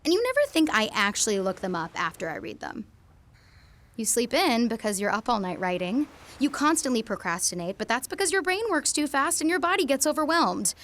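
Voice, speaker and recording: A woman's voice: on the high side, big pitch swings, a little fast. A young woman playing an emotional teenage girl speaking an intimate monologue to her boyfriend in a radio drama. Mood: tender. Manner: intimate monologue, swings from quiet to pleading.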